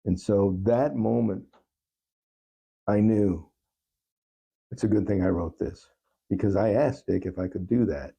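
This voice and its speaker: Very dark, gravelly, husky man. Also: on the low side. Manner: halting, earnest. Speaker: talk show guest, songwriter. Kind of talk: reflective personal storytelling in an interview.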